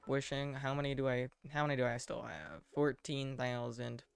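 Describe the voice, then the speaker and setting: A man giving casual live game commentary in a deadpan, self-deprecating way, muttering asides to himself. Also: medium pitch, moderate pace, gravelly, smooth. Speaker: game streamer.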